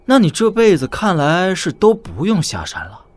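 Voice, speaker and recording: An actor playing a cocky, sardonic young prince in a Chinese wuxia audio drama, with a glib, needling way of talking. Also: male voice, high-pitched, huge pitch swings, moderate pace, dry recording. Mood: teasing. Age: young adult.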